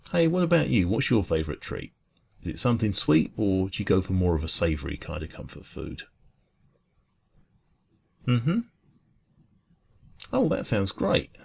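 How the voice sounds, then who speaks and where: A man playing a kind, friendly dragon who runs a café, speaking softly and chattily in a calming ASMR roleplay. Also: low voice, gravelly, slightly rough, full character voice. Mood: friendly, curious. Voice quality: soft, calming. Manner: gentle, reassuring, chatty. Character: kind, friendly male dragon café owner closing up shop.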